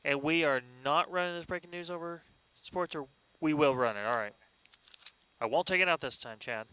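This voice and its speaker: A man's voice: a little high, moderate pace, bright, forceful tone, a little hiss or hum, low-quality recording. A man working as a newscast director, calling live control-room cues in clipped commands.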